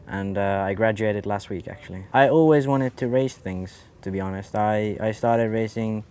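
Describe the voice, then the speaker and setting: A young man of eighteen from Finland, a racer talking casually and quickly with lots of fillers. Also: lowish voice, a little mellow, clean tone. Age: young adult. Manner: quick, with many fillers and restarts. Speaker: RC car racer. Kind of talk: casual interview.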